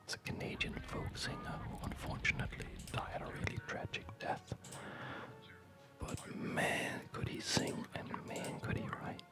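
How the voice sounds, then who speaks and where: A man whispers breathily and haltingly in an unhurried ASMR chat while playing a game, close to a studio microphone at home. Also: loud music behind the voice. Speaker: ASMR gamer. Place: quiet home at night. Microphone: close studio condenser microphone.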